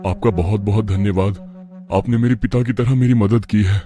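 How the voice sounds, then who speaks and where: A man's voice: lowish voice, fairly even intonation, normal speed, music in the background, close to an everyday voice. A man playing an earnest, kind-hearted young village merchant in a cartoon moral story, speaking sincerely and respectfully. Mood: sincere, pensive. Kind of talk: Hindi animated moral story dialogue.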